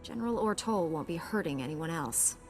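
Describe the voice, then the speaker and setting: An actress playing a confident, brisk Jedi knight heroine, direct and resolute, in a video game cutscene. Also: female voice, on the low side, animated, darkish tone, faint music behind. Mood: matter-of-fact.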